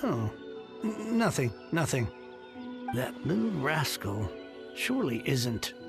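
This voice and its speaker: A man voicing an earnest, effusive gentleman book collector in a video game, theatrical and wordy in his speech. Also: medium pitch, big pitch swings, slow pace, vocal fry, exaggerated voice. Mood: flustered. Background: game music.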